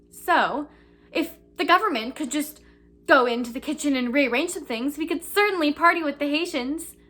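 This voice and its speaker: A woman's voice: high-pitched, sharp tone. A woman performing a comedic monologue as a ditzy young woman giving an earnest speech full of fillers.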